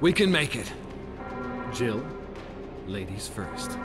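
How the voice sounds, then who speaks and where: An actor playing a young, gallant, confident action hero in a video game, with a brisk manner. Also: male voice, on the low side, very animated, over loud music. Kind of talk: dramatic video game acting.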